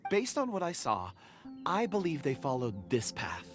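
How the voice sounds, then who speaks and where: A shy, courteous miner who speaks gently and sometimes stumbles over his words. His voice swings up and down with feeling. Game music plays clearly behind him. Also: on the high side, huge pitch swings, normal speed. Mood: gentle. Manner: polite, hesitant, stammers a little. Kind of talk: video game voice acting.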